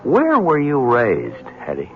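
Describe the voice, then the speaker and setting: An actor playing a skeptical, easygoing newspaper reporter in a 1970s radio drama. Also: male voice, medium pitch, takes it slowly, a little hiss or hum. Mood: probing. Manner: skeptical, soothing, lively intonation. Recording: dull 1970s radio broadcast.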